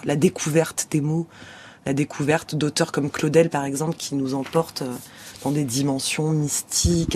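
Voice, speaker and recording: A woman's voice: low voice, a little mellow, slight background noise. A young actress talking thoughtfully in a radio studio interview, searching for her words as she goes.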